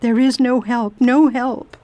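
A woman's voice: a little high, steady tone, slow pace, mellow, no echo. A woman playing a determined volunteer nurse in a radio play, formal, forthright and insistent. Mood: concerned.

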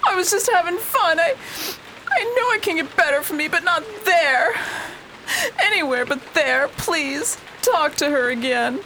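A woman's voice: very high voice, lively intonation, a little slow, pushed and bright, heavily acted, rough recording. A woman playing a brash, slangy, streetwise good-time girl in trouble, in a period radio drama. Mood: desperate, pleading. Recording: faint rain-like background noise.

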